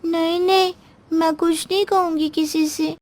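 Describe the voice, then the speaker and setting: A woman's voice: extremely high pitch, little pitch movement, unhurried, darkish tone, pitched above the performer's own voice. A woman voicing a naive, childlike young sister-in-law in a moral story, sweet and pleading with a simple girlish delivery. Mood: timid.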